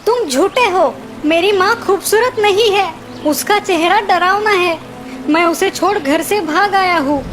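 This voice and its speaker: A woman's voice: very high voice, a little slow, slight background noise. A woman voicing an emotional, petulant young boy in a children's cartoon, whiny and dramatic. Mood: defiant. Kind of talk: Hindi children's cartoon dialogue.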